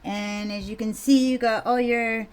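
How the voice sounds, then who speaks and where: A woman with a quiet voice, casually explaining a recipe in a home kitchen video, rambling a bit with fillers. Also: on the high side, measured pace, smooth. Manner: relaxed, rambling, with fillers and mild swearing. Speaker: home cook vlogger.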